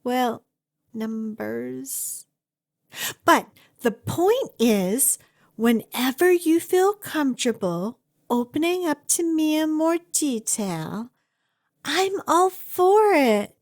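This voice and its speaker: A woman's voice: high voice, expressive, slow pace, exaggerated voice. A woman playing an obsessive, doting, clingy kidnapper in an ASMR roleplay, with a gushing, coaxing delivery. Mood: hopeful, clingy. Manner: gushing, coaxing, dramatic emphasis.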